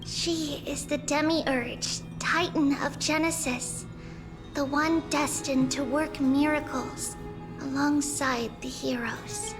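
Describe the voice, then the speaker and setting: High-voiced, earnest young girl. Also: fairly even intonation, slow. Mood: composed, earnest. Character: earnest, composed young girl, one of sisters. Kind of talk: anime game voice acting. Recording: loud background music.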